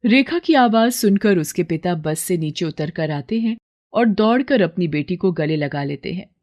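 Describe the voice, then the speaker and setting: A woman's voice: lowish voice, expressive, moderate pace, darkish tone. A woman storyteller reading scripted narration for a children's moral story.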